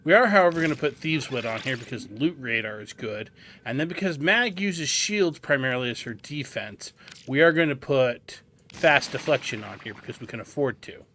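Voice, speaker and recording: A man's voice: medium pitch, animated, moderate pace, sharp tone, a little hiss or hum. A man hosting a video game guide, explaining things informally as he plays. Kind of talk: casual tutorial commentary over gameplay. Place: indoors, gameplay voice-over recording.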